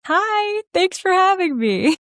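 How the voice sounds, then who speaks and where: A woman speaking as an interview guest in a casual, animated, informal way. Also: very high voice, takes it slowly, no echo, clean studio sound. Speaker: guest, musical theater writer and performer. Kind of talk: casual conversational interview answers.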